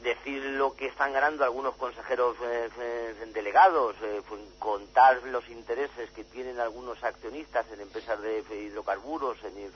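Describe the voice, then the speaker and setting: A man from Spain gives a radio interview as a journalist and author, stopping and restarting often before speeding through his points. The audio is dull and lo-fi, with a faint hum behind him. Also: medium pitch. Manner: halting, many fillers and restarts, then rushes ahead.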